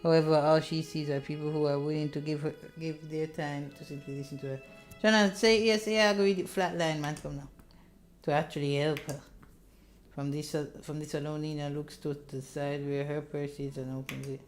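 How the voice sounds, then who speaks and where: A woman's voice: deep voice, steady tone, moderate pace, faint music behind. A woman streamer reading a visual novel aloud, with dramatic narration broken by casual asides.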